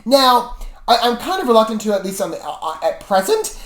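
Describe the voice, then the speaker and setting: A woman reviewer talks casually and at length to her viewers, often correcting herself as she goes. Her intonation is very animated and jumps around, and the room adds a noticeable echo. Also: fairly high pitch, a little fast. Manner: animated, rambling, self-correcting. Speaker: reaction video host and reviewer. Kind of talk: casual rambling commentary to viewers. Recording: roomy, echoey room sound.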